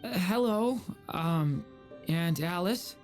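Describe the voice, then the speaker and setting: Gentle, lowish-voiced hesitant boy. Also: lively intonation, slow pace, soft background music. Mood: hesitant, puzzled. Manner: soft, hesitant, polite. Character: gentle, hesitant, apologetic young boy with hidden power. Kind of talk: video game voice acting.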